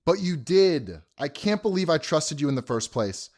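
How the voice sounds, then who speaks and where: A man's voice: on the high side, very animated, moderate pace, darkish tone. A man playing an exasperated, scolding young groom in an audio drama. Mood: angry, hurt. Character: exasperated groom, scolding his wedding party.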